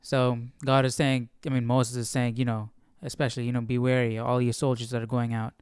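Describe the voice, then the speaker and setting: A man's voice: mid-range voice, level tone, fairly quick, a little mellow, clear, resonant tone, no room sound, clean studio sound. A man hosting a Bible study stream, talking through scripture casually and musingly, often checking in with rhetorical questions. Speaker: host of a Bible study livestream. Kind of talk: casual conversational scripture commentary.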